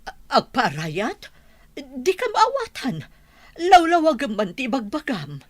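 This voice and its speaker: A woman's voice: sits high, wildly swooping pitch, slow, dry recording, full character voice. A woman playing an anxious middle-aged madam in a radio comedy drama, fretful and sometimes stammering. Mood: alarmed, insistent.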